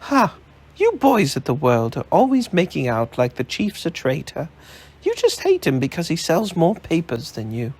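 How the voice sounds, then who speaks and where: Brief: indignant lady reporter, high and husky, as a male narrator puts her on. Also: very animated, unhurried, few pauses, darkish tone, full character voice. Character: feisty woman journalist, quick to scoff.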